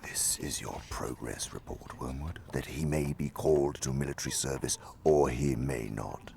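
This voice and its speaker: Deep gravelly demon uncle, pompous schemer. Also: male voice, normal speed, noisy background. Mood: coolly delighted. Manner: theatrical, savoring, sardonic, slipping into whispers. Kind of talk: radio theater dramatic monologue.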